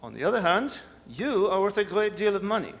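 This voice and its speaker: Suave, high-voiced French villain. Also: male voice, sing-song, brisk. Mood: smug. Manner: courtly, verbose, sardonic. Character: suave, scheming French male villain, greedy and smug. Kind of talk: staged radio drama performance. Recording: live hall, long reverb, noisy.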